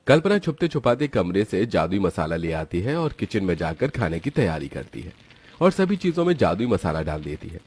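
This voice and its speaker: A male narrator reads a scripted children's story. His voice is mid-pitched and slightly husky with animated intonation, over faint music. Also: moderate pace, no room sound.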